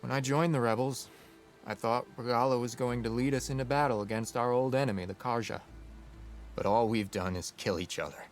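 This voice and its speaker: An actor playing an earnest, remorseful young soldier who deserted the rebels, in a video game cutscene. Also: male voice, medium pitch, fairly even intonation, fairly quick, faint music behind, close to an everyday voice.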